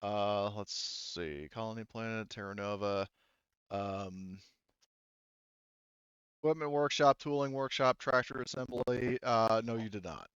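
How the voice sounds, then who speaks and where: A man's voice: fairly low pitch, steady tone, measured pace, a bit bright, clean tone, no echo, lo-fi sound. A man talking casually as game master of a tabletop game, swearing freely.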